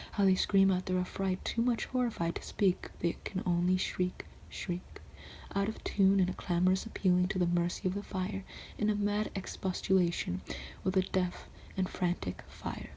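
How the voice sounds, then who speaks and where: A woman reading classic poems aloud in a soft, steady whisper, with rhythmic recitation. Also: lowish voice, a little hiss or hum, poor audio.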